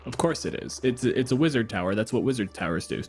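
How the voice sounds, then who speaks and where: A man's voice: medium pitch, animated, fast, darkish tone, faint music behind. A man as game master, giving rulings and narrating action in short, dramatic statements.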